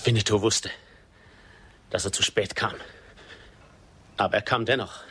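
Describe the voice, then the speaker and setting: An actor playing a proud, defiant captive Apache chief in a radio drama, solemn and declamatory. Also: male voice, fairly low pitch, steady tone, unhurried, bright, forceful tone, husky, exaggerated voice.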